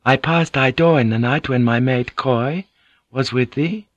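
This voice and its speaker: A man voicing a gentle, composed Japanese noblewoman in an audiobook, speaking in courteous, archaic phrasing. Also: mid-range voice, unhurried, no room sound, highs cut off, pitched above the performer's own voice, close to an everyday voice. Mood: placating, composed.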